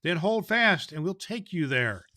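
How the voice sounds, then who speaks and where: A man's voice: high-pitched, very animated, measured pace, sharp tone, dry recording, clean studio sound, pitched above the performer's own voice, heavily acted. A man voicing an eager, helpful storybook reindeer with an urging manner.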